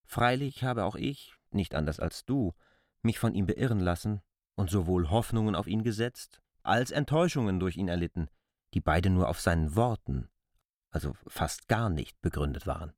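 An earnest stranger to a village in a radio play. His voice is fairly low and recorded dry and close. He argues insistently, building long, deliberate chains of reasoning. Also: lively intonation, a little mellow, dry recording. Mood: earnest, argumentative. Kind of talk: literary radio drama acting.

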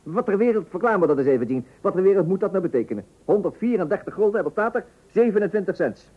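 A man's voice: high voice, big pitch swings, fast, slightly rough, exaggerated voice. An actor playing a pompous, thrifty father who makes speeches in an old radio comedy, with a Netherlands Dutch accent. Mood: indignant. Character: pompous, thrifty, self-important husband and father. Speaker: actor playing the narrator of a family comedy serial. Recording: muffled old radio recording.

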